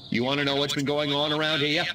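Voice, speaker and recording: A man's voice: a little high, flat delivery, fast talker, sharp tone, recorded from a distance, a little hiss or hum, rough recording. A man voicing a pompous, blustering small-town American mayor in a video game. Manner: pompous, folksy, stalling.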